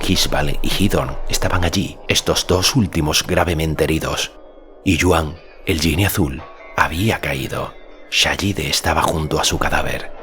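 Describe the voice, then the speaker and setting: A man's voice: extremely low pitch, fairly bright tone. A man reading as an audiobook narrator, giving dramatic scripted narration of a fantasy story. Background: music.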